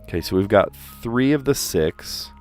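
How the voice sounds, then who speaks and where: A man's voice: fairly low pitch, expressive, normal speed, darkish tone, soft background music. A man from the US East Coast with a general American accent, hosting a puzzle livestream and chatting casually as he thinks aloud, with careful diction.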